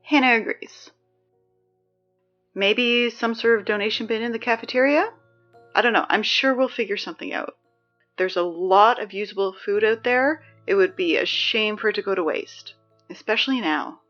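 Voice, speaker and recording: A woman's voice: mid-range voice, normal speed, lo-fi sound, faint music behind, close to an everyday voice. A woman playing a dry, sarcastic teenage girl who reads the school announcements in an audio drama. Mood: chatty.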